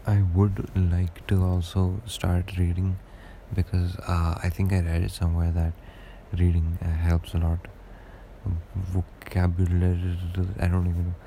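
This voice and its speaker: A young man with a deep voice, rambling through a casual solo podcast monologue with lots of hesitations. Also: completely flat delivery, a little slow, warm tone, a little hiss or hum. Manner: rambling and hesitant, many fillers and restarts. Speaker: podcast host.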